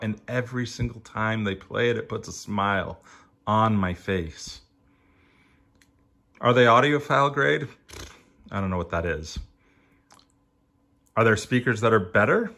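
A middle-aged man giving a reflective personal review, in short emphatic phrases with rhetorical questions and halting pauses. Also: lowish voice, sharp tone. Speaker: audio hobbyist reviewer.

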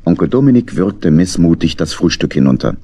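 A man narrating a children's story as an expressive scripted storyteller. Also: low-pitched, brisk.

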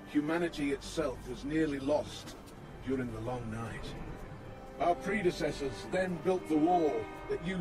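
Stern old male commander, played by an actor, fantasy game, slow formal oratory, fairly high-set voice, music behind. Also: natural voice. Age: older. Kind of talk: dramatic fantasy game acting.

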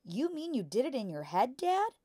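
A woman's voice: sits high, sing-song, moderate pace, warm tone, no room sound, clean studio sound, pitched above the performer's own voice. A woman voicing an eager, dutiful ten-year-old boy who admires his father, in a storybook reading. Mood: eager, admiring.

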